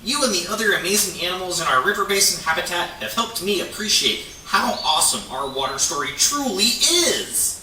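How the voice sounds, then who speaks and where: A man's voice: high-pitched, lively intonation, fairly quick, hardly any pauses. A man voicing an eager young beaver in a children's puppet show, earnest and exaggerated. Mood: proud, eager. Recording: echoey, distant, faint noise.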